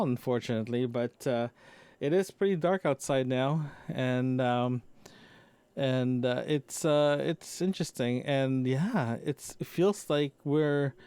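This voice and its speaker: Halting man in a dry-sounding audio diary. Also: medium pitch, a little mellow, clean tone, no echo. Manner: halting, hesitant, repeating phrases. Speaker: podcast host recording a personal audio log. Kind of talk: casual off-the-cuff diary narration. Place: indoors in an office.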